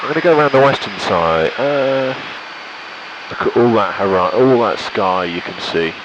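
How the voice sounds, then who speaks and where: Male pilot, lowish voice, jokey and relaxed cockpit commentary, headset recording in a noisy small plane. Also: moderate pace. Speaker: pilot and vlogger. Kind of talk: casual chat and cockpit radio commentary. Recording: engine drone, radio headset in small plane.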